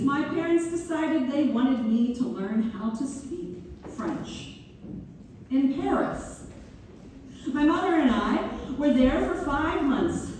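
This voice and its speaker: A woman's voice: high-pitched, dark tone. A woman playing a genteel author who fondly recalls her childhood in a dramatic stage read-through. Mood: grateful, reflective. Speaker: community theater actor. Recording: echoey room, distant mic, faint noise.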